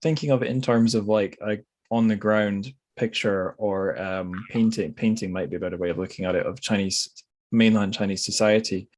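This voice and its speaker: Male podcast host with a fairly low voice; thoughtful, halting, informal interviewing style that rambles a little. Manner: hesitant, rambling, thinking aloud. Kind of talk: conversational literary interview.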